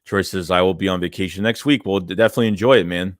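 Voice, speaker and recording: A man's voice: low voice, very fast, no echo, clean studio sound. A young man hosting a casual fan livestream, reading chat messages and rambling loosely with lots of "man" and "dude". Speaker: fan channel host reading live chat.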